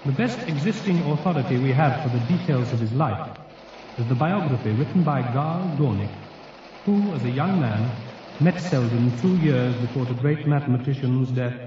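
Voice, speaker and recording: An actor voicing a formal, measured encyclopedia narrator in a radio drama, with a heavy echo effect. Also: male voice, medium pitch, expressive, distant mic. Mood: solemn, formal. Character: solemn encyclopedia voice reading entries. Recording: echoing, dull, noisy radio drama recording.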